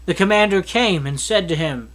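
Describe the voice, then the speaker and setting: High-pitched man reading scripture aloud. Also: expressive, moderate pace, a bit bright. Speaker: Bible reader.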